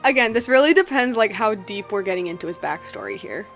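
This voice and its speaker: A young woman giving casual, chatty live gameplay commentary, rambling and excitable as she goes. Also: mid-range voice, fast talker, faint music behind. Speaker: game streamer.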